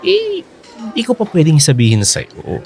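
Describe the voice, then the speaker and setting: A young man in a Filipino radio drama, a boyfriend hiding a plan. His pitch swings widely as he teases and coaxes. He is expressive, reassuring and ready to stand his ground. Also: moderate pace, gravelly, heavily acted. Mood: playful, secretive.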